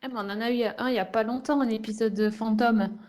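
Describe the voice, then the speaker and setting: A woman's voice: on the high side, steady tone, fast talker, a little mellow. A woman in casual podcast chat, speaking informally and a little hesitantly.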